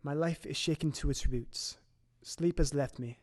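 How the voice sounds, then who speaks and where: A man's voice: fairly high pitch, darkish tone, lightly acted. A man playing a grave, shaken Victorian doctor, recounting horror in formal, dramatic audio drama narration. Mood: shaken, despairing. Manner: formal, dramatic, measured storytelling.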